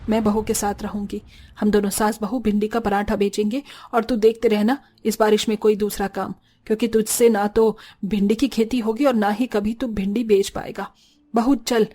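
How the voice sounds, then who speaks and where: A woman playing a bossy, sharp-tongued village mother-in-law in a cartoon story, assertive and scolding. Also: mid-range voice, level tone, moderate pace, natural voice. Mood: scolding, firm. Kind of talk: Hindi cartoon story dialogue.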